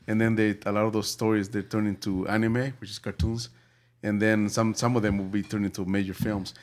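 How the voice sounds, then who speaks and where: A man from El Salvador hosting a casual movie review podcast, talking in halting bursts full of fillers and restarts. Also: fairly low pitch, level tone. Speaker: podcast host.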